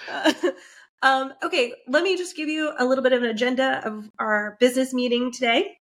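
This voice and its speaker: A congested woman co-host whose voice comes out nasal and a little high. She keeps the chat upbeat and leads the rundown of the show. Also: fairly even intonation, a little fast, a bit bright. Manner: upbeat, runs the agenda. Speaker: podcast co-host. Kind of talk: casual chat.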